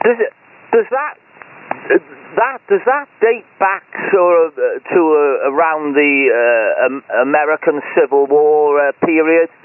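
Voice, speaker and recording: A man's voice: a little high, expressive, unhurried. A man with a British accent chatting casually over ham radio, hesitant and agreeable, stumbling over his words. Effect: ham radio transmission. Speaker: amateur radio operator. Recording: tinny shortwave radio link with hiss.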